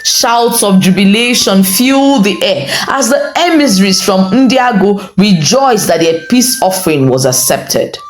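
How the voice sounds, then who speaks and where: A woman with a Nigerian accent narrating a folktale in a measured, dramatic, expressive storytelling style. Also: mid-range voice. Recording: roomy echo under light music. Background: music.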